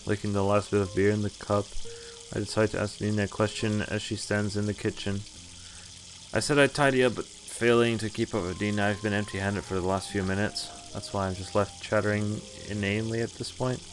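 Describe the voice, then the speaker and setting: A man's voice: on the low side, flat delivery, brisk, a little hiss or hum, poor audio. A young man reading a visual novel aloud on a live stream with casual asides, speaking into a headset. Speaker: game streamer. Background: game music.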